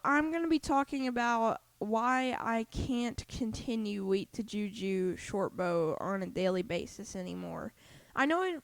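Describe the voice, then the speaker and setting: A woman's voice: mid-range voice, no room sound. A woman gaming YouTuber, congested after just waking up, talking casually to her viewers in a rambling, self-correcting way with gamer slang.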